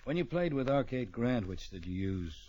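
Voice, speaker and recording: An actor playing a laconic, tough frontier marshal in an old radio western, speaking in clipped, terse phrases. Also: male voice, medium pitch, sing-song, moderate pace, dark tone, husky, lo-fi sound. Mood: probing.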